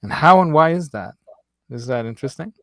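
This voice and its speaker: Show host, man, faint Hungarian accent, rambling chatty delivery, quick to laugh, dry close sound. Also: fairly high pitch, animated, no echo. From United States. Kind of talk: casual chat.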